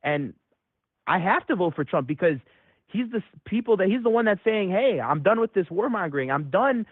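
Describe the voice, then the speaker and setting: A caller from Metro Detroit arguing heatedly, his voice jumping high when he gets worked up. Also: moderate pace, no room sound. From Metro Detroit, USA. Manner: excitable, voice jumps high when agitated. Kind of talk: heated call-in debate. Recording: tinny phone line.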